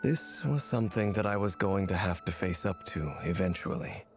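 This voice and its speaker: A reserved, guarded fantasy game character. The voice is low and gravelly, with solemn, formal phrasing. Music is clearly heard behind him. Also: male voice, expressive, moderate pace. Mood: solemn, apologetic. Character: guarded, reserved man weighing joining a fight. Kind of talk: video game drama acting.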